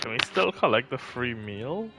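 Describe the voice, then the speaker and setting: A man's voice: medium pitch, sing-song, moderate pace, bright, forceful tone. A man casually commenting on a game as he streams it live. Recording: faint game music behind the voice.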